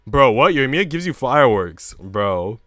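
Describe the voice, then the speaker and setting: A man's voice: mid-range voice, very animated, unhurried, a bit bright, dry recording, clean studio sound. A man livestreaming in casual chat, slangy and bantering with mock outrage.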